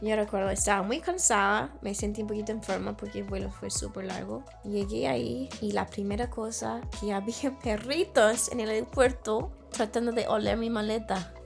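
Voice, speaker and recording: A woman's voice: mid-range voice, normal speed, vocal fry, soft background music. A young woman, a native English speaker from Northern Ireland, tells travel stories in Spanish with a Chilean-tinged accent, searching for words as she goes. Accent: Chilean-inflected Spanish learner. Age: young adult. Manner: searches for words, restarts, laughs easily. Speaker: interview guest. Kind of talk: casual storytelling.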